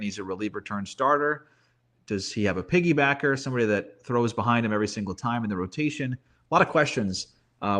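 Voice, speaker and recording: A man with a medium-pitched voice talks casually as a baseball analyst. He thinks aloud in a conversational way, working through options and putting rhetorical questions as he goes. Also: fairly bright tone. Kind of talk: casual sports talk, roster preview.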